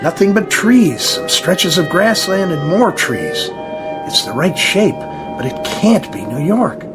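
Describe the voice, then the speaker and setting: An actor playing an earnest, wonderstruck airliner flight engineer with an emphatic delivery, in old-time radio drama style. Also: male voice, sits high, very animated, slow pace, full character voice. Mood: disbelieving. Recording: dramatic music underneath.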